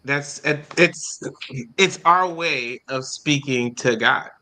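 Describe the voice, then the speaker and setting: A man's voice: medium pitch, takes it slowly, pushed and bright, poor audio. A man from Haiti who earnestly explains his points as a guest in a group discussion.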